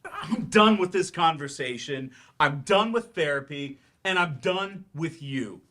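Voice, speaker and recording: A man's voice: a little high, expressive, a little slow, bright, forceful tone. An actor in a staged play reading, playing a bitter, grieving, sarcastic man who teaches remedial English and speaks defensively and emphatically. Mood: anguished, angry.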